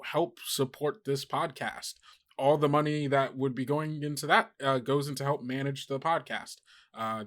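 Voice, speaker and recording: A man with a mid-pitched voice hosts a podcast. He talks informally and ramblingly, chatting his way through announcements as if to regular listeners. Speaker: podcast host.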